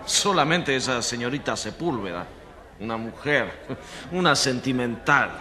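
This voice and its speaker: An actor playing an indignant, scornful journalist in a radio drama, emphatic and opinionated. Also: male voice, medium pitch, animated, a little slow, bright, forceful tone. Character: indignant, scornful male journalist backing harsh measures. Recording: long reverberant hall.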